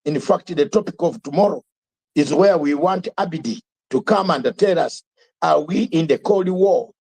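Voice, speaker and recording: A man's voice: on the high side, moderate pace, darkish tone, husky. An older man hosting a live online talk show, speaking emphatically with repetitions and rhetorical questions. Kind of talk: fiery live commentary.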